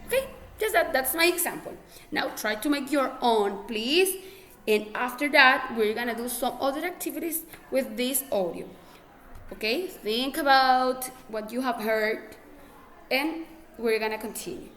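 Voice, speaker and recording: A woman's voice: sits high, a little slow, a bit bright, slight background noise. Patient Ecuadorian English teacher, woman. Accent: Ecuadorian Spanish. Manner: patient, repeats herself, checks with "okay?". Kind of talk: classroom instruction.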